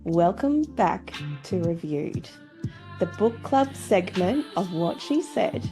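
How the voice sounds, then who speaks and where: A woman's voice: lowish voice, lively intonation, slow pace, warm tone, soft background music, poor audio. A woman hosting a book club podcast in a cheeky, theatrical, gushing manner. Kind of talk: scripted, playful show introduction and chat.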